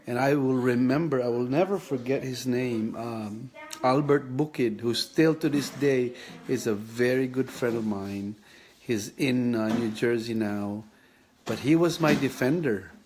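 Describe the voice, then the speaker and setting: A man, recalling his childhood in a reflective personal vlog, thinking aloud with many pauses and restarts. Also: mid-range voice, darkish tone. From Philippines. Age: 50s. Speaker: vlogger sharing his kidney story.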